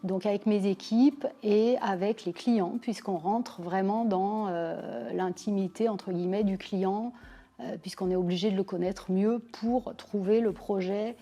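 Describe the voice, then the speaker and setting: Woman in her forties, from Lyon, soft dark tone, reflective interviewee. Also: medium pitch, steady tone. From Lyon, France. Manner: measured, thoughtful, frequent pauses. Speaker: business owner interviewee. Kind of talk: personal career account to camera.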